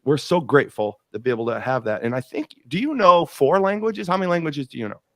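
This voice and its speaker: A man's voice: on the high side, animated, fast talker, no room sound, studio quality. A man hosting an interview podcast, talking enthusiastically and rambling with many restarts. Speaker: podcast host. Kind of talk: interview host, casual chat.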